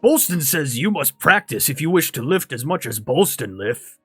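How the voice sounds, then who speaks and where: A man's voice: fairly high pitch, big pitch swings, normal speed, husky, dry recording, soft background music. Guy doing a pompous circus strongman for a tabletop game, talks about himself in third person, super boastful. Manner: pompous, refers to himself by name.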